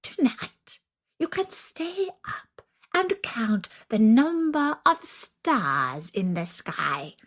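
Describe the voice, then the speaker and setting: A woman's voice: on the high side, very animated, extremely slow, pitched above the performer's own voice, full character voice. A woman voicing a cruel, fierce old witch in a storybook, drawing out threats in a swooping voice. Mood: sly menace.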